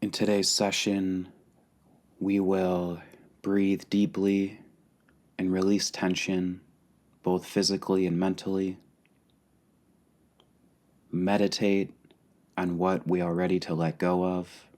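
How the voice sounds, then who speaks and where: A man leading a guided meditation calmly and deliberately, pausing between short phrases. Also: sits low. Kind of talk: guided meditation, slow and spacious.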